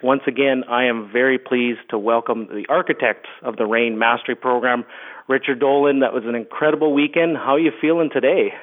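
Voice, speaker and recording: A man's voice: mid-range voice, brisk. A man hosting a coaching call, upbeat and welcoming, with a tired voice after a long weekend. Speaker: host. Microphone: telephone conference call. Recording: tinny phone line.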